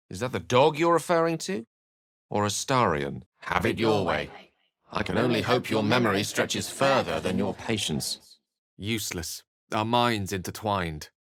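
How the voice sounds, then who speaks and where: An actor playing a learned, dramatic wizard adventurer in a video game, speaking in an articulate, theatrical storytelling manner. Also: male voice, lowish voice, a bit bright, no echo, clean studio sound. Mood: wry. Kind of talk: scripted video game character lines and narration.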